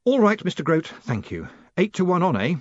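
A man voicing a quick-witted, wry and exasperated man in charge in an audiobook. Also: high-pitched, very animated, no echo, lo-fi sound, voice pushed up. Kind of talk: audiobook character voice.

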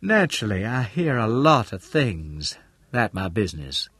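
A man voicing an earnest, shrewd woman who works in real estate, speaking in a halting, deliberate way in an audiobook. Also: very animated, husky, no room sound, full character voice. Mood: wary, frank. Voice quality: low, mellow.

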